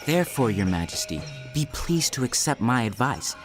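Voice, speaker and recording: A man's voice: mid-range voice, very animated, normal speed, close to an everyday voice. An actor playing an earnest Hebrew prophet counselling a king in a dramatized audio Bible, speaking in a solemn, pleading, declamatory way. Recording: loud music behind the voice.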